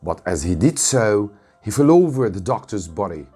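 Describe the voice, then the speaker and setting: A man with a deep, mellow, somewhat husky voice reads a story aloud like a dramatic audiobook. His delivery is measured and deliberate, with emphasis on key moments. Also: sing-song, faint music behind. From Egypt. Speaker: English teacher reading a story aloud.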